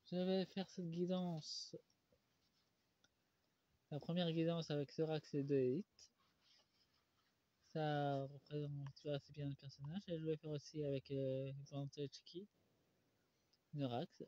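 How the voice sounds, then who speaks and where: A man with a cold, doing a solo tarot card reading, slowed by many pauses and fillers. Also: fairly high pitch, fairly even intonation, dark tone, no room sound. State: stuffy with a cold. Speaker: tarot reader. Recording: dull lo-fi recording.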